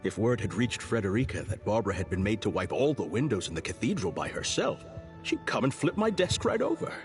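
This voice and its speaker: A man playing a composed, devout cardinal in a video game, speaking formally and with gentle wryness. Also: lowish voice, big pitch swings, soft background music. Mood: dryly wry. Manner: formal, measured, gently wry. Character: composed, devout male cardinal, a fatherly churchman. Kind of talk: scripted video game dialogue.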